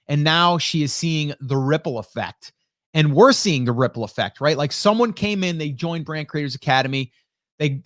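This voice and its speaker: Higher-pitched man chatting casually on livestream. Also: dry recording, studio quality. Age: late 40s. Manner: conversational, greets viewers by name, rhetorical check-ins. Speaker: host, online marketing coach. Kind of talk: casual live stream chat with viewers. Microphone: close broadcast dynamic microphone.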